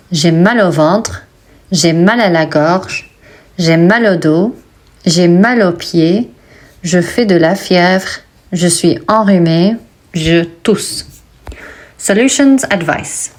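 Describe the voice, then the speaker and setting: Female French teacher, lowish voice, very slow word-by-word delivery for a pronunciation lesson. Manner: slow, deliberate word-by-word reading. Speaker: French teacher and textbook author.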